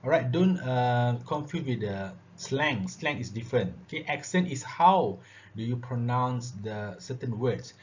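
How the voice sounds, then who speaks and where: A man's voice: mid-range voice, expressive, a little slow. Man lecturing with many "all right"s. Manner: filler-heavy, repeats "all right" and "okay". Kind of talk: classroom lecture on public speaking. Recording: dull lo-fi recording with faint noise.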